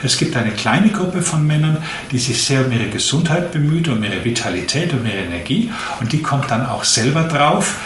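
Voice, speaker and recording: A man in his late fifties, a doctor, giving long, measured explanations in an interview. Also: mid-range voice, lively intonation, fairly bright tone, reverberant, slight background noise. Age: 50s. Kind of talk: interview answers.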